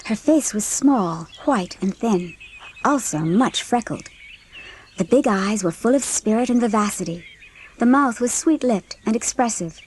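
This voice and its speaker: A woman's voice: on the high side, expressive, unhurried, darkish tone, a little hiss or hum. A woman narrating a dramatised audiobook from a script, telling the story in literary prose.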